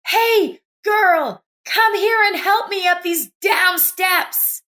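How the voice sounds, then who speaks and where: A woman's voice: very high-pitched, slow, bright, forceful tone, voice pushed up, exaggerated voice. A woman narrator voicing an older woman in a story, motherly but bossy, plain-spoken and insistent. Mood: urgent, bossy.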